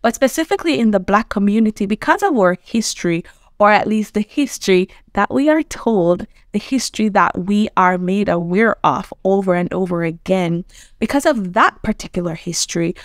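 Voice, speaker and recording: A woman's voice: medium pitch, animated, normal speed, dry recording, clean studio sound. A woman from Jamaica, hosting a motivational self-improvement talk, earnest and conversational, often checking in with the viewer. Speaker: self-improvement coach and host.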